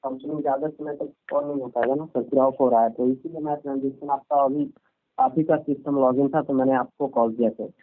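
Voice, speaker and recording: A man's voice: medium pitch, fairly even intonation, a little fast, a little hiss or hum. A man talking like a phone loan agent, insistent and repetitive, interrupting and constantly using polite forms of address. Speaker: caller presenting himself as finance company loan agent. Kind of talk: persuasive phone sales talk. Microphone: telephone. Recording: tinny narrowband phone call recording.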